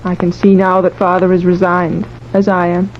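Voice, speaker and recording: A woman's voice: on the low side, completely flat delivery, normal speed, natural voice. Woman acting a sorrowful young bride, muffled recording. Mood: resigned. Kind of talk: old-time radio horror drama acting. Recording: muffled, faintly noisy old radio recording.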